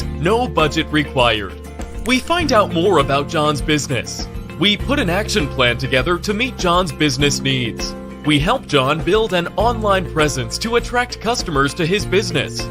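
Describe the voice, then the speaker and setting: Guy doing an upbeat ad read, bit high pitched, music playing under it. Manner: upbeat salesy delivery. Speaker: ad announcer. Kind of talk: scripted commercial read.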